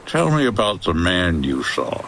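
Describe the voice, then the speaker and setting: A man playing a gruff, wry 1950s American hotel owner and adventurer, dry and hardboiled, in an old-time radio detective style. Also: sits low, sing-song, measured pace, fairly bright tone, a little hiss or hum, heavily acted. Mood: brisk, dry.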